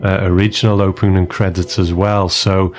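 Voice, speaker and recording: A man hosting a podcast, closing the episode in a relaxed way, thinking aloud. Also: low voice, moderate pace, faint music behind. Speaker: podcast host. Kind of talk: casual chat.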